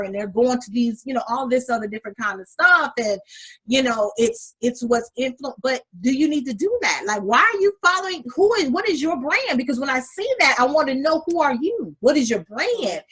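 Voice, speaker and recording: Husky, sassy woman chatting with flair. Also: high-pitched, low-quality recording. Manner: animated, sassy, calls listeners honey. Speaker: podcast co-host. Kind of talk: casual chat.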